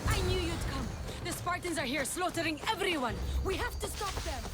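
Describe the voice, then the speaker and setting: A woman's voice: very high voice, lively intonation, brisk. An actress playing an earnest, protective young refugee woman in a video game cutscene. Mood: urgent. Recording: faint music behind the voice.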